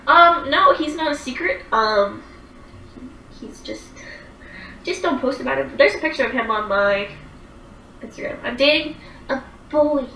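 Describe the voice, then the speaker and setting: Lively bright-voiced young woman livestreamer. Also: high-pitched, unhurried, pauses often, echoey room, far from the mic, slight background noise, low-quality recording. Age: 20s. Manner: chatty, rambling, lots of "like" and "um". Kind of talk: casual livestream chat reading viewer comments.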